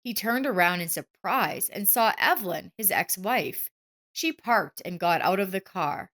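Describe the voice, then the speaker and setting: A woman's voice: mid-range voice, expressive, unhurried, fairly bright tone. A woman narrator reading a scripted story.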